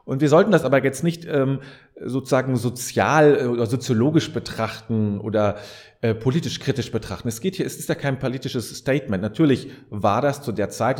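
A man's voice: mid-range voice, a little mellow. Reflective man explaining things. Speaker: podcast host. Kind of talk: reflective podcast monologue addressed to the listener.

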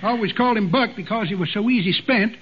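A man's voice: high-pitched, quick pace, natural voice. A man doing a cackling old-timer yarn-spinner. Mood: folksy yarning. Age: older. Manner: drawling folksy yarn-spinning, chuckles. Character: cackling old male tall-tale teller. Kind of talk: 1940s radio comedy acting. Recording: dull old radio recording, faint hiss.

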